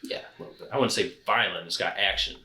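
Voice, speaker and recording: A man's voice: low voice, fast talker, bright, forceful tone. A young man co-hosting a podcast in casual chat, talking in a hesitant, fumbling, offhand way. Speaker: podcast co-host. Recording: roomy, echoey room.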